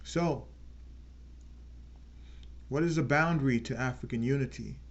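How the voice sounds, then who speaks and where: A man hosting his own channel, giving an earnest unscripted political talk and slowing down to stress key words. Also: medium pitch, dull audio. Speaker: channel host.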